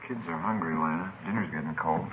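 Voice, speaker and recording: An actor playing a soft-spoken, hospitable family man in a 1950s radio drama. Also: low-pitched, a little fast, close to an everyday voice. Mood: apologetic. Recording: tinny, noisy vintage radio.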